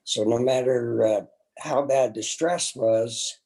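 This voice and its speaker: Old guy in his 70s being interviewed, creaky voice, takes his time, plain talk. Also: medium pitch, slow pace, darkish tone. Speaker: interview guest, host's father. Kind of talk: casual personal recollection.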